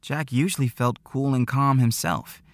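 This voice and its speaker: A man narrating an audiobook story in an expressive, scripted reading style. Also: mid-range voice, moderate pace, no room sound.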